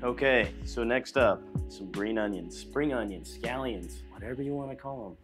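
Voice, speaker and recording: A young man talking viewers through a recipe in a small studio kitchen set, relaxed and chatty, with music playing underneath. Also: mid-range voice, normal speed. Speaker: cooking vlogger. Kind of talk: casual cooking demonstration. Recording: clear background music under voice.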